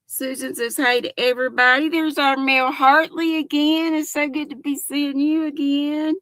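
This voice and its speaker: A woman's voice: high voice, fairly even intonation, normal speed, a bit bright, no echo. An older woman hosting a casual livestream, chatting folksily and giggling as she reads viewer comments aloud. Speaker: livestream host.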